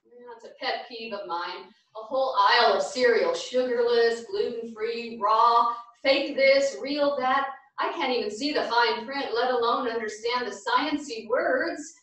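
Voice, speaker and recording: An actress as an earnest older woman, sincere and hopeful. Her voice is high and carries with heavy echo from a stage, heard at some distance. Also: measured pace, fairly bright tone. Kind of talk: theatrical staged reading. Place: small theatre stage, far camera. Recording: echoey theatre, distant microphone.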